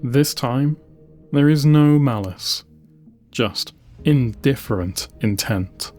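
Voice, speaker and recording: A man giving a solemn, deliberate audiobook narration of science-fiction lore, with dramatic pauses between short phrases. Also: mid-range voice, mellow. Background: music.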